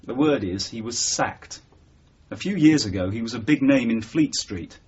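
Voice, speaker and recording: An actor in a radio drama playing a reserved, courteous police sergeant with a polite, understated and slightly hesitant manner. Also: male voice, mid-range voice, animated, normal speed, husky. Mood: cautionary. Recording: dull, slightly muffled 1980s radio broadcast.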